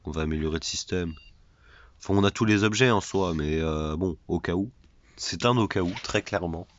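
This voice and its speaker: Brief: man with a cold, deep, chatty let's-play commentary; slightly dull recording with faint hiss. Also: brisk. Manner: chatty, self-mocking, repeats phrases. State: has a cold. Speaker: gaming YouTuber.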